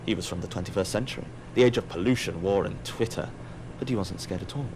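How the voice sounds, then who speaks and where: A man's voice: deep voice, fast talker. Wry male narrator over faint noise. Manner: wry, theatrical. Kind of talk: scripted audio drama narration.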